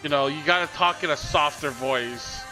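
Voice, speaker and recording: A man's voice: fairly high pitch, moderate pace, music in the background, low-quality recording. A man co-streaming a match broadcast, chatting casually, swearing and joking between laughs. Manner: profane, joking, laughs often. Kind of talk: casual chat over a broadcast.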